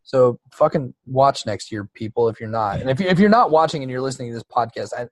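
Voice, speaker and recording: A man's voice: medium pitch, quick pace, no echo. A man co-hosting a podcast, chatting casually and profanely about basketball, rambling with lots of fillers. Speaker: podcast co-host. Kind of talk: casual sports chat.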